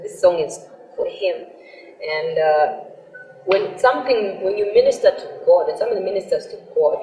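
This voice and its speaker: A woman's voice: fairly low pitch, a little mellow. A woman from Malawi whose first language is Chichewa, a gospel singer talking earnestly and eagerly about her music, with frequent fillers. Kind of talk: promotional talk to camera. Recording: roomy sound from far off with faint music.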